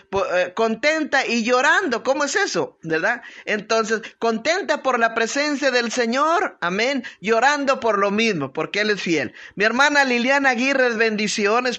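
A woman pastor with a strong voice, leading an informal devotional talk with prayers, greetings and conversational asides. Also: fairly high pitch. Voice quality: strong, forceful voice. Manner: conversational, hesitant searching for words, punctuated with amens and tag questions. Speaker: pastor hosting a live online prayer broadcast.

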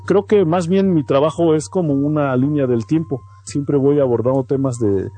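A man's voice: fairly high pitch, normal speed, dark tone, no echo. A man from Oaxaca with a Mexican accent, a native Mazatec speaker, answering as an artist guest in a radio interview.

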